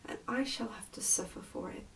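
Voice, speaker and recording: A woman's voice: medium pitch, brisk, creaky, whispered, distant mic. A woman voicing a fretful, scolding nursemaid in a storybook, anxious and easily indignant. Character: fretful, scolding, self-pitying nursemaid to a princess.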